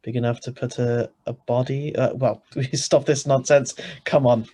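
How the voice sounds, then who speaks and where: A man's voice: medium pitch, steady tone, normal speed, mellow, natural voice. A man playing a skeptical, exasperated husband who also narrates, reading a radio drama script in a wry, sighing manner. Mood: impatient, sardonic. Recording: live video-call recording.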